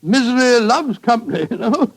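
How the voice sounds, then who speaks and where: Casting: kindly English doctor sidekick, middle-aged, high-pitched, fussy and avuncular, vintage radio drama. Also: male voice, expressive, normal speed, full character voice. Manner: bumbling, avuncular, repeats himself. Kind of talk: 1940s radio drama acting.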